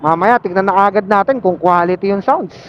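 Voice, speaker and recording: A man's voice: high-pitched, fairly quick. A Filipino man chatting casually as a motovlogger while riding, mixing English phrases into his talk through a helmet intercom mic. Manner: code-switches into English phrases, filler-laden. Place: on a motorcycle in city traffic. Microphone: Bluetooth helmet intercom linked to action camera. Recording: muffled helmet intercom mic with vehicle noise. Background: faint vehicle and road noise.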